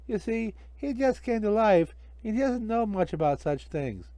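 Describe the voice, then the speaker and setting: A sweet young girl as a man puts her on, pitched well up and swooping. She is polite and pleading, a worried child in a storybook tale. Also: sing-song, normal speed, warm tone, no echo. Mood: apologetic. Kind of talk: amateur children's storybook reading.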